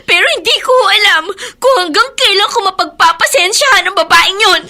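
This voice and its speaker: A feisty Filipino teenage daughter in a radio drama. Her voice is very high and bright, plaintive and indignant while still respectful. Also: lively intonation, brisk, heavily acted. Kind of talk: Tagalog radio drama acting.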